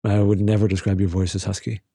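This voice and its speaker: A man co-hosting a podcast over a call, cracking deadpan teasing jokes in casual chat. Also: on the low side, fast talker, husky, no room sound. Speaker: podcast co-host.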